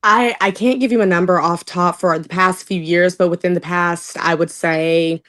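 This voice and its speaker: A woman's voice: lowish voice, fairly even intonation, moderate pace, a bit bright. A young woman from southern Oklahoma with a Texas-leaning Southern accent, talking casually and laughing easily in an interview. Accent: Texoma Southern US. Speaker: political candidate interviewed.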